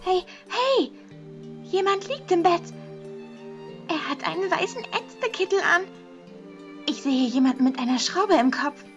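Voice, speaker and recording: A woman plays a cheerful, childlike teenage girl in an anime audio drama. Her voice is high and swings freely in pitch, with slow, singsong, curious phrasing. Music plays behind her. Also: animated, muffled recording.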